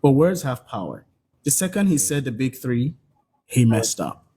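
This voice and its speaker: A man delivering scripted, dramatic documentary narration in a measured storytelling manner. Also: medium pitch, big pitch swings, heavy background noise. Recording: faint music behind the narration.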